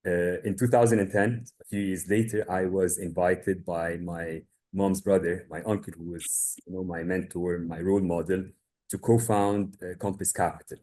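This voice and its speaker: A low-pitched man in his thirties from Egypt speaks over a video call. He recounts his background in an even, measured way and often pauses on filler sounds. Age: 30s. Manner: measured storytelling with frequent uh fillers. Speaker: guest, family business owner. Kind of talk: webinar presentation.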